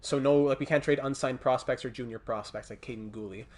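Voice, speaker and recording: A man with a Montreal accent chatting casually on a gaming livestream, thinking aloud in a halting way as he plays and replies to viewers. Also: mid-range voice, darkish tone. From Montreal, Canada. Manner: thinking aloud, halting, breaking off to reply to chat. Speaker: livestreamer hosting a hockey video game stream. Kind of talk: casual livestream chat with game commentary.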